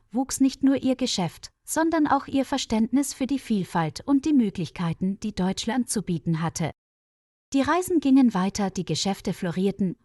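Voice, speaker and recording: A woman's voice: medium pitch, mellow, no echo, clean studio sound. A woman reading a scripted story for language learners as its narrator. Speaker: narrator of stories for German learners.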